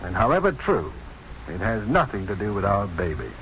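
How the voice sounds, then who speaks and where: An actor playing a confident, tender doctor and husband in 1950s radio drama, teasing and measured in his delivery. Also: lowish voice, big pitch swings, a bit bright. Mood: earnest, reassuring. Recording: dull, faintly noisy 1950s radio broadcast.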